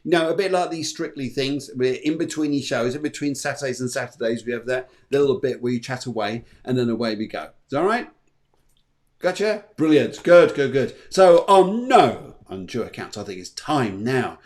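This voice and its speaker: A man's voice: mid-range voice, lively intonation, normal speed, darkish tone. A man hosting a casual live-stream chat, upbeat and chatty, often repeating his words. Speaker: host.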